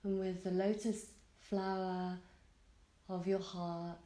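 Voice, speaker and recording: A woman guides a meditation with soothing patience. Her voice sits a little low and moves very slowly, with long gaps between phrases. The recording is roomy, with clear echo around her words. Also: level tone. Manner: soothing, gentle, unhurried with long pauses. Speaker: yoga nidra teacher guiding a meditation. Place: indoor room at a retreat.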